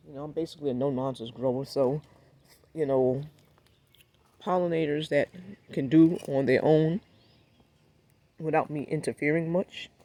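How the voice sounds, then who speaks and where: A man with a raspy, hoarse voice from a cold, giving a laid-back, rambling walkthrough of his backyard garden and talking straight to his viewers. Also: medium pitch, warm tone. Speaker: gardening vlogger. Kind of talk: casual walkthrough vlog.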